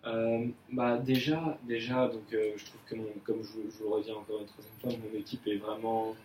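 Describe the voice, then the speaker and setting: A man's voice: lowish voice, level tone, moderate pace, a little mellow, lightly acted. A young man playing an earnest employee in a mock job appraisal, speaking hesitantly with many fillers and restarts. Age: young adult. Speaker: employee in an appraisal role-play. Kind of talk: amateur role-play of a job interview. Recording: echoey room, mic far off, faint noise.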